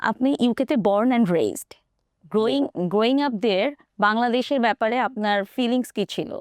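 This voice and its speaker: Fast-talking woman interview host. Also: on the high side, a little mellow, no echo, studio quality. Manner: mixes two languages, quick questions. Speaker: show host. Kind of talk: interview hosting.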